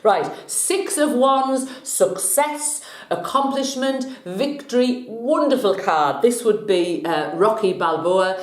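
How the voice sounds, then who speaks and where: A middle-aged woman hosting a casual tarot vlog, slightly scratchy from a cold, chatty and quick to joke. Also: a little high, expressive, roomy sound. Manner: chatty and jokey, laughs often. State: has a cold, a bit scratchy. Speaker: vlog host, tarot reader.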